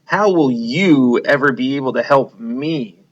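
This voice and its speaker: A man's voice: medium pitch, expressive, unhurried. A man voicing a proud, boastful male lion, king of the jungle, in a storybook read-aloud. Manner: boastful, mocking. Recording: dull lo-fi recording.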